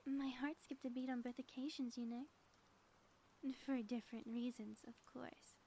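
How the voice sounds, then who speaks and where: A woman playing a flirtatious, affectionate ex-villain wife in an intimate ASMR audio roleplay, teasing and breathy, often dropping toward a whisper. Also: fairly high pitch, little pitch movement, moderate pace, mellow, close to an everyday voice. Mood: fond, teasing. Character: playful, flirtatious ex-villain wife, theatrical yet sappy and affectionate. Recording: faint background noise.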